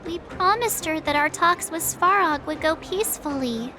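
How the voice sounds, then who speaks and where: An actress playing a perky, upbeat young woman adventurer in a video game, chatty and expressive. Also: very high-pitched, moderate pace, noisy background. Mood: thoughtful, chatty. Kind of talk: animated video game dialogue.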